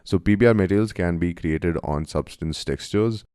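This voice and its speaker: A man from India with a cold, narrating a friendly software tutorial and calling his viewers guys. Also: sits low, moderate pace, clean tone, no room sound, clean studio sound. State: has a cold, voice deeper than usual. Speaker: software instructor. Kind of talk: screen-recorded tutorial narration.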